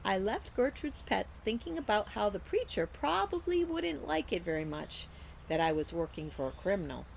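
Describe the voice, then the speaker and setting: A woman reading a children's story aloud like a teacher, in a deliberate, careful storytelling way. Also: medium pitch, very animated, creaky, slight background noise, rough recording. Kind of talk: expressive children's story narration.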